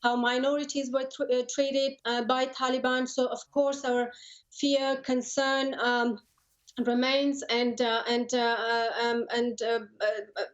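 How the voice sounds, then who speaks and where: Afghan-born woman, 30s, interview guest, pretty flat tone, stop-start and earnest, kinda muffled lo-fi sound. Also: fairly high pitch. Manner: halting, repeats words, earnest. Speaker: interview guest, lawyer and human rights advocate. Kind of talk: live news interview.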